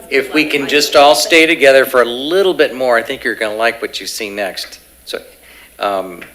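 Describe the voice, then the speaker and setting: Bright-voiced man addressing a school board meeting. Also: mid-range voice. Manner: informal, repeats words while speaking. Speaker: school district official. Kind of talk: public meeting remarks. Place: school auditorium.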